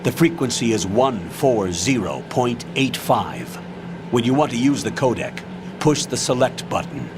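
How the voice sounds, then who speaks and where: An actor playing a stern, authoritative military commander in a video game, giving a measured, clipped mission briefing. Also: male voice, mid-range voice, very animated, background noise. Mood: businesslike, commanding. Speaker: commanding officer. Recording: loud music and effects underneath.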